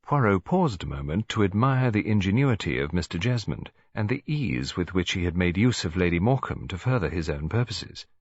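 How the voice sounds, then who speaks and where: A man's voice: low voice, sing-song, brisk, hardly any pauses, husky, no echo, lo-fi sound. An English man reading a story as a scripted audiobook narration.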